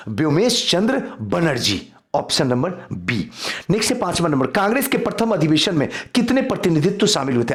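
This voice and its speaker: Male coaching teacher. Slightly high pitch with big swings, emphatic revision-quiz delivery addressed to students. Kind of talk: quiz-style lecture for exam revision.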